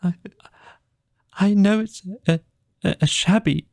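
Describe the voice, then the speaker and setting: A little storybook mole, voiced by a man, pitched well up from a normal male voice. He is gentle and homesick, and his words come out broken, stammered and tearful. The sound is close and dry. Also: slow pace, creaky, no echo, exaggerated voice. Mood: sobbing, pleading. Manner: stammering, broken speech with gulps.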